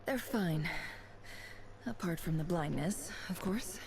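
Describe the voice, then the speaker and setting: A woman's voice: lowish voice, lively intonation, slow, vocal fry, noisy background, full character voice. An actress playing a composed, dryly unrepentant young woman in a video game, with a cool delivery. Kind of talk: scripted game voice acting.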